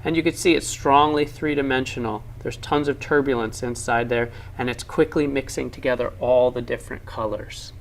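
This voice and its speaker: A man's voice: mid-range voice, fairly even intonation, moderate pace, few pauses, rough recording. A man narrating laboratory science demonstrations for an educational film, explaining with enthusiasm and emphasis.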